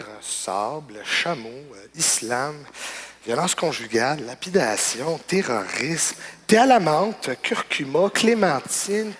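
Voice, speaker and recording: An awkward, bright-voiced guy acted out by a male comedian who fumbles his way along in hesitant mutters. The man sounds clueless and self-justifying, a comic figure from a stage routine. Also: on the high side, slight background noise, full character voice. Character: awkward, clueless young man fumbling through cultural stereotypes.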